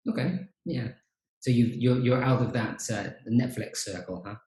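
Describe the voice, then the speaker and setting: A man hosting a casual podcast chat on a video call, relaxed and chatty with frequent fillers. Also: mid-range voice, normal speed. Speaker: podcast host, teacher trainer. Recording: roomy echo.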